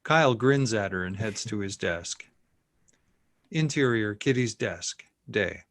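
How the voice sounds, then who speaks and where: A man's voice: on the low side, animated, unhurried, a bit bright, dry recording, studio quality. A man reading stage directions as narrator at a screenplay table read. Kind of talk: scripted narration.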